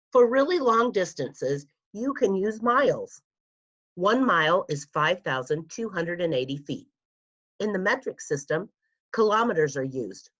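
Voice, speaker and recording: A lower-pitched woman reads a children's fact book aloud at an unhurried pace. She phrases things deliberately for young listeners, like a school librarian. The recording is a little dull and lo-fi. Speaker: school media specialist reading aloud.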